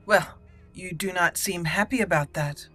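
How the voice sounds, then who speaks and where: A woman gives let's play commentary in a bright, slightly low voice. She is chatty and playful, roleplaying as she goes, with light music underneath. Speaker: gaming channel host.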